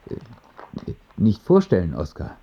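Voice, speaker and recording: A man's voice: low voice, wildly swooping pitch, a little slow, creaky, slightly rough, lo-fi sound, full character voice. An actor in a radio drama playing an awkward father who speaks in a hesitant mumble. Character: awkward, halting father of a sick boy.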